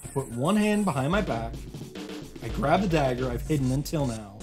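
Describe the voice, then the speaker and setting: A man's voice: medium pitch, animated, normal speed, natural voice. Man reading as desperate, determined young hero, music behind. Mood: desperate resolve. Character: desperate, determined young male hero narrating his final fight. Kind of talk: dramatic reading of visual novel narration.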